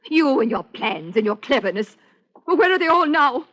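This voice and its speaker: A woman's voice: high-pitched, big pitch swings, normal speed, a bit bright, full character voice. An actress playing a bitter, defiant, accusing woman with an emotional, sarcastic manner in an old-time radio melodrama. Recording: muffled old radio recording.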